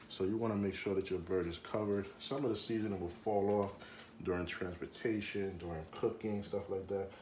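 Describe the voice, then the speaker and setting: A man's voice: fairly low pitch, darkish tone, roomy sound, slight background noise. A man hosting a home cooking demonstration, talking in a loose, chatty, jokey way full of fillers. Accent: slips into a Jamaican accent. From Jamaica. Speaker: cooking show host.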